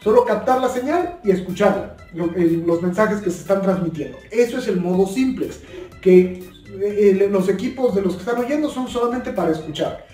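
A man's voice: sits high, warm tone. A young adult man giving an informal, earnest tutorial as a video host, often repeating and rephrasing his points. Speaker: YouTube explainer host. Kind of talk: informal spoken tutorial on two-way radios. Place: small bare indoor room, seated at table. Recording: echoey room, distant mic, faint music.

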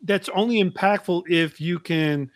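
A man's voice: high voice, a little slow, no echo. A middle-aged American man chatting casually as a podcast co-host. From United States. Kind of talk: casual chat. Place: indoor room, video call.

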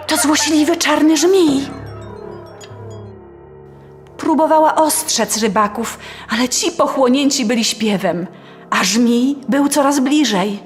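Slow-paced woman storyteller. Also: high-pitched. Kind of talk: scripted fairy tale narration. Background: faint music.

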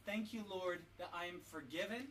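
Parent leading home devotional, instructive and prompting, mid-pitched voice, slightly noisy room. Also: normal speed, fairly bright tone. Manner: instructing, prompting in short phrases. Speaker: parent leading family worship. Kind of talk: leading family prayer and devotional teaching. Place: at home with family. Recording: noisy home video recording.

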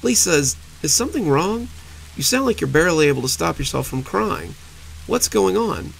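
A man's voice: medium pitch, animated, normal speed, a little hiss or hum, close to an everyday voice. A man doing a worried, stammering young husband. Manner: anxious, stammering.

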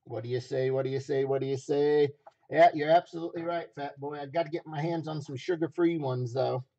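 A man hosting a livestream auction with folksy, repetitive casual sales patter, his voice rough, raw and a little hoarse. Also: fairly high pitch, fairly even intonation, fairly quick, mellow, lo-fi sound. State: slightly hoarse.

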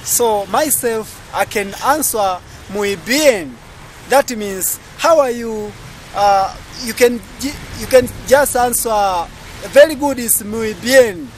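A man's voice: very high-pitched, slow pace, slight background noise. A young man with a Tanzanian accent hosting a casual outdoor interview, talking straight to his viewers with a camera held at arm's length. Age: young adult. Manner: chatty, eager, addresses viewers directly. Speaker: vlogger host. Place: outdoors at a market stall area.

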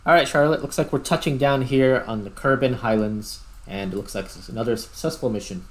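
A man giving casual, unhurried gameplay commentary, thinking aloud as he plays. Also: medium pitch. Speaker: host, gamer.

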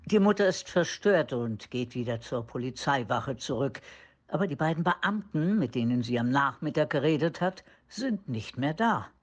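A man's voice: medium pitch, expressive, normal speed, close to an everyday voice. A man narrating a crime radio play as its scripted storyteller. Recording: faint background noise with birdsong.

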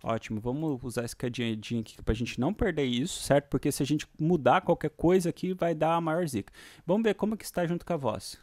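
A man with a Brazilian accent giving a casual, hands-on music software lesson in an informal, slangy way. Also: fairly high pitch, fast, background noise. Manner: informal, uses diminutives and slang. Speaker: music software tutorial host. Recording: electronic synth music behind voice. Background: synthesizer and vocoder demo sounds, clicks.

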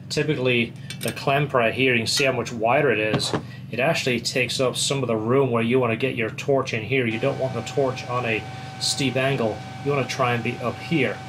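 Man demonstrating gear over clear background noise. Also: mid-range voice. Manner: informal, explanatory. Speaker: fabricator and welder presenting a product. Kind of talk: casual workshop product demonstration. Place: small indoor workshop office.

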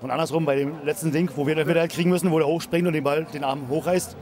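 A man's voice: a little high, steady tone, rapid-fire, a little mellow. A middle-aged man, a football fan, answering casually in a street interview outside a stadium. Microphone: handheld interview microphone.

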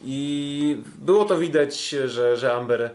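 A man hosting a podcast, giving a casual sports recap in a halting way with frequent filled pauses. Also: medium pitch, a little mellow. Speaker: podcast host.

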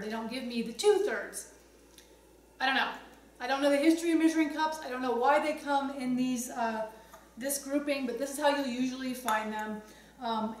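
A middle-aged woman with a high voice explains cooking basics in a casual, chatty way. She is in a home kitchen, recorded from a distance. The room rings with noticeable echo, and there is faint noise behind her. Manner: conversational, explanatory, chatty asides. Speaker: cooking instructor, host. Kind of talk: casual instructional demonstration to camera. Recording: roomy echo, distant mic, some background noise.

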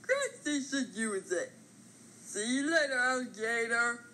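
A woman voicing a small, naive, childlike grass character for a cartoon, cutesy and squeaky with a husky edge. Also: expressive, unhurried, sharp tone, a little hiss or hum. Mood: determined. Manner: cutesy, refers to self in third person.

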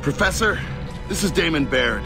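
A man's voice: fairly high pitch, expressive, moderate pace. An actor playing a sarcastic male soldier who swears freely and jokes grimly. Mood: sardonic. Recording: game audio with music.

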